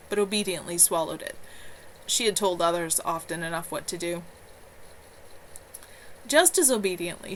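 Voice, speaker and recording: A woman's voice: fairly low pitch, a bit bright, slight background noise, low-quality recording. A woman author reading her own novel aloud live from home, earnest, with a few small stumbles.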